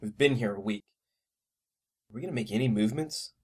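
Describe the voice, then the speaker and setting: A man's voice: on the low side, brisk, heavy background noise, lightly acted. A man acting as a restless ex-military newcomer to a spaceship crew in an audio drama. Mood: restless, curious.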